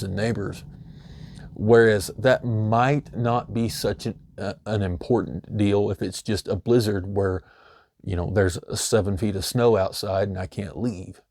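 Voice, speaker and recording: Low, mellow-voiced man, slightly hoarse. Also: a little hiss or hum. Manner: loose and conversational, many "you know" fillers. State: slightly hoarse, losing his voice. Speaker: homesteading channel host. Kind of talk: casual instructional talk.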